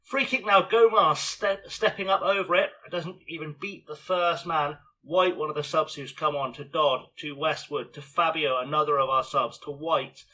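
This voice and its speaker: Man, hoarse and scratchy, slightly high voice, bright sharp tone, casual match commentary. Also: normal speed, hardly any pauses. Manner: chatty, self-mocking, narrates match action. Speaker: video game streamer. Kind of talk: casual play-by-play commentary of a football management game.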